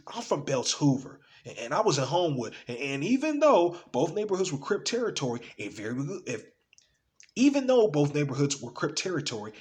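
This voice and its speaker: Young American man, played by a male actor, bitter and frank stage monologue, widely varied pitch, emphatic pauses. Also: natural voice. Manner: emphatic, conversational, swearing, with deliberate pauses. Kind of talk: dramatic theatrical audition monologue.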